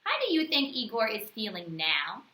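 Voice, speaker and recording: A woman reading a bedtime story aloud to young children, expressively, with teacherly questions and pauses. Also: mid-range voice, sing-song, sharp tone, roomy sound.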